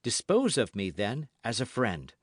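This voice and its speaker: A man's voice: medium pitch, wildly swooping pitch, unhurried, dry recording, clean studio sound. A man reading an audiobook as a brave, eager young guardsman with an emphatic, courtly way of speaking. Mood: eager, confident. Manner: emphatic, courtly, formal speech.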